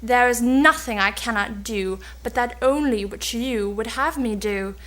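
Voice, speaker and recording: A woman's voice: fairly high pitch, unhurried, fairly bright tone, poor audio. A woman playing a boastful, cunning old sorceress, declaiming in an amateur cold reading of an old stage play. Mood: proud, solemn.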